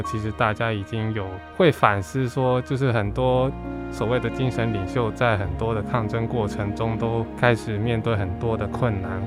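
Man, Hong Kong accent, native Cantonese speaker, lowish voice, reflective interview guest, music behind. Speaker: guest, comic artist. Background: background music.

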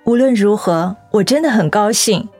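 An actress as a middle-aged woman director in a radio drama, determined and encouraging. Her medium-pitched voice is earnest and heartfelt, with faint music behind it. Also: animated, normal speed, a little mellow, close to an everyday voice. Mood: moved. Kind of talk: Mandarin radio drama acting.

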